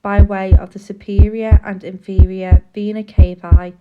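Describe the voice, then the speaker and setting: A woman's voice: medium pitch, flat delivery, loud music behind the voice. Woman narrating, dull lo-fi recording. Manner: measured, formal, explanatory. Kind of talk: scripted educational narration, public information film.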